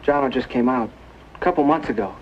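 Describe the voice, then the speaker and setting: A man's voice: medium pitch, quick pace, slight background noise, close to an everyday voice. Man acting an earnest teenage brother, muffled old soundtrack. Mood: polite, subdued. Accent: American. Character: earnest, protective older brother in his late teens. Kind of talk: 1950s television drama acting.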